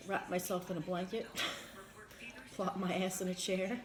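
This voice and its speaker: A woman's voice: fairly low pitch, fairly even intonation, a little slow, background noise. A woman with a cough from a recent flu, talking frankly and swearing freely as she chats over a meal. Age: adult parent. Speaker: mukbang co-host. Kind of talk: casual chat while eating.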